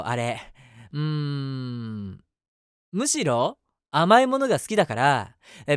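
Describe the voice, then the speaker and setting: A man's voice: fairly high pitch, no echo, clean studio sound. A man playing an eager, submissive, lovestruck young man in an audio drama, chatting and rambling to the listener with self-mockery. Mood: rambling, bashful. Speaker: voice actor. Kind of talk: situation voice drama, first-person monologue to the listener.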